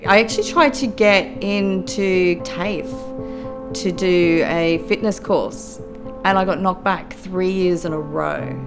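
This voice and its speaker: Gravelly low-voiced Australian woman. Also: slow. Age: middle-aged. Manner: earnest, reflective, steady. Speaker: youth worker and law graduate. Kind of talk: personal testimony for a documentary. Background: soft music.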